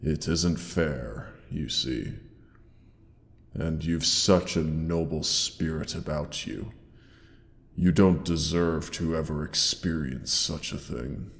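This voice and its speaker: A huge, ancient-sounding dragon speaks in an extremely deep, creaking voice that rings through long cavernous reverb. He is theatrical and formal, grandiose yet tender, as in a fantasy audio drama. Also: heavily acted. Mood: tender, regretful. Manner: slow, theatrical, archaic formal phrasing with pauses. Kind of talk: scripted roleplay audio drama monologue.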